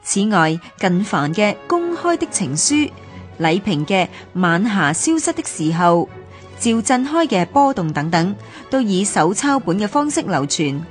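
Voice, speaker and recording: A woman with a Hong Kong Cantonese accent, reading scripted documentary narration in a formal, measured way. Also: medium pitch, sing-song. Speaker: narrator of a radio history series. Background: music.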